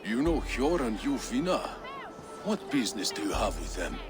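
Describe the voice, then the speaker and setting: A man's voice: mid-range voice, very animated, normal speed, music in the background, close to an everyday voice. An actor playing a sarcastic, greedy male dockmaster in a game cutscene. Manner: snide, sarcastic. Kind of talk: video game cutscene acting.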